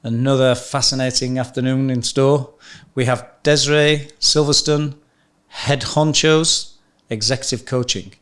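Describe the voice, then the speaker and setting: A man's voice: medium pitch, fairly even intonation, a bit bright, smooth. Upbeat British man hosting a podcast. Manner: upbeat introductions, halting questions. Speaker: podcast host. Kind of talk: interview hosting.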